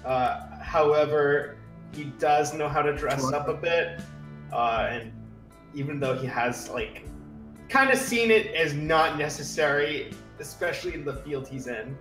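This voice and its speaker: A man's voice: on the high side, unhurried, fairly bright tone. A man playing a tabletop roleplaying game, casually describing what his character does as he thinks aloud. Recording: roomy echo, music underneath.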